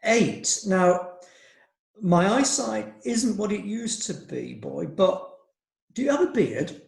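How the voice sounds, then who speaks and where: Creaky, doddery old magistrate played by a man. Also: high voice, big pitch swings, takes it slowly, darkish tone, full character voice. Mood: puzzled. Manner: hesitant, stammering, pompous. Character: doddery, hard-of-hearing old male magistrate, blustering and nostalgic. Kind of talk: comic radio play acting. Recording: roomy, echoing sound.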